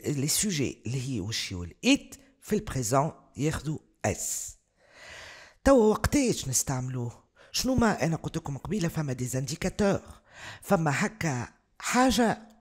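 A woman's voice: rumbling low, darkish tone, husky. A Tunisian woman, a native Arabic speaker with a Tunisian accent, teaching a revision lesson patiently and repeating examples. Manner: explains patiently, repeats examples, bursts of fast speech. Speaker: English teacher. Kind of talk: exam revision lesson over slides.

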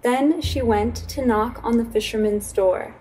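A young woman reads a picture book aloud in a fairly high voice. Her delivery is gentle and unhurried, with careful storybook phrasing. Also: slight background noise, rough recording. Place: small indoor room with fabric backdrop.

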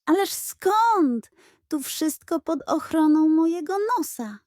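A pompous little storybook pug, acted by a woman. He speaks high and slowly, drawing out grand ceremonial praise with a sly, greedy streak. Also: lively intonation, darkish tone, no echo, studio quality. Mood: falsely innocent.

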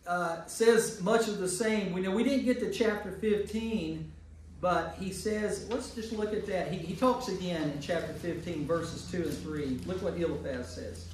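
A man's voice: high-pitched, normal speed, a little mellow. A man leading a Bible study for a group, teaching emphatically and repeating phrases for emphasis. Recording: very echoey room, distant mic, faint noise.

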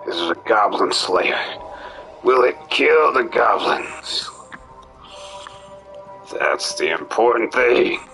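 A man's voice: on the low side, animated, slow, gravelly, pauses often, sharp tone, faint music behind, poor audio. A man streaming a game with casual commentary, musing aloud and breaking into playful theatrical outbursts. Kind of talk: casual live gameplay commentary.

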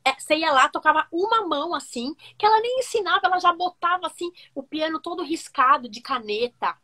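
A woman, telling stories on a video call from a room at home, animated and full of fillers. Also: extremely high pitch, brisk. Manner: animated, gestures a lot, many fillers. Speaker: music teacher guest. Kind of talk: casual storytelling interview.

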